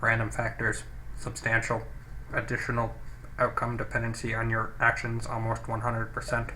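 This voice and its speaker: A monotone robot crew member acted out by a man reports calculations in a stilted, clipped way, as in a sci-fi game. The voice sits at a middling pitch, with some noise from a cheap microphone. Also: moderate pace, natural voice, rough recording. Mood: flat, clinical.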